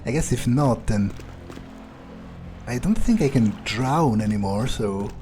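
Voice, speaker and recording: A man's voice: medium pitch, lively intonation, unhurried, warm tone, a little hiss or hum. A man commentating a video game playthrough in character, musing to himself in a casual, dry way. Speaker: gamer playing in character. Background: faint game music.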